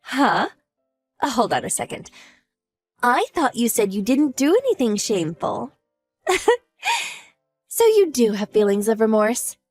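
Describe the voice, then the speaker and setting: A woman's voice: a little high, sing-song, measured pace, pauses often, faint music behind. Woman playing an icy, sneering wife. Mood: mocking. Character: cold scheming wife, mocking and vengeful. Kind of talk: audio drama acting.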